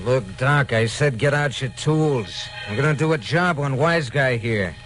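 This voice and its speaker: A man's voice: medium pitch, measured pace, lightly acted. A man with an American accent playing a menacing, impatient racketeer who barks slangy orders in an old radio crime drama. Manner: barking, slangy, ungrammatical threats. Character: menacing, bossy male racketeer, impatient tough guy. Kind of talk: 1940s-50s radio gangster acting. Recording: old radio recording, faint music.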